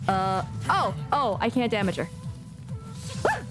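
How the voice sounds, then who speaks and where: A woman streams a video game with casual live commentary over music. Her delivery is unhurried but swings widely in pitch. She exclaims and mutters to herself as she reacts. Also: very animated.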